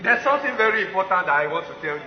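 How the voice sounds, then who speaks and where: A man's voice: high-pitched, sing-song, racing. A man playing a bashful, tongue-tied suitor in a comic stage play, hesitant and stammering. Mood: nervous. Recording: echoey hall, dull audio, crowd noise.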